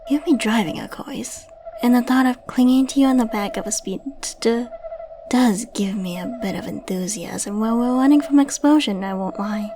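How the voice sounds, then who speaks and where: Woman acting a high-voiced, flirty secret agent. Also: normal speed, background noise, close to an everyday voice. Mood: flirtatious. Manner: coy, teasing, chatty with tag questions. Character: playful, flirtatious female secret agent, affectionate and teasing. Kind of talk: scripted ASMR roleplay, addressing the listener. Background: ambient sound effects.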